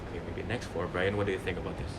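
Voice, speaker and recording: A man's voice: low voice, very fast. A young man from Indonesia moderating a student group discussion as its leader. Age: young adult. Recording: noisy room with steady background hum.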